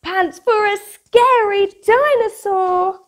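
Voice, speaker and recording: A British woman in her thirties presenting for young children in a playful, expressive, sing-song manner, as if hosting a picture-book club. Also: extremely high pitch, slow. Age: 30s. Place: small room at home, indoors. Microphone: lapel mic.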